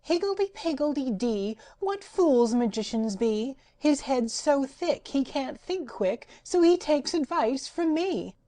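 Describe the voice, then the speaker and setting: A woman's voice: fairly high pitch, normal speed, a little mellow, voice pushed up, close to an everyday voice. A woman voicing a giddy, boastful female patchwork rag doll who chants sing-song rhymes. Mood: cheeky.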